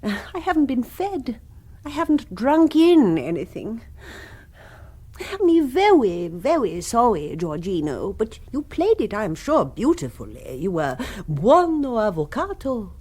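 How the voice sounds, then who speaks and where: A woman's voice: a little high, very animated, a little slow, darkish tone, slightly rough, exaggerated voice. A woman playing a self-important, middle-aged society queen in a radio comedy, with an upper-class English accent and a pretentious, condescending manner. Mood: pretentious, disdainful. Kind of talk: radio comedy drama acting.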